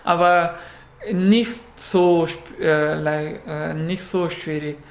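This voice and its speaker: Man, Afghan accent, Pashto native, slow, hesitant, echoey room. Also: on the high side, steady tone. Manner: halting, many fillers, searching for words. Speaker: interviewee. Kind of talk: casual interview.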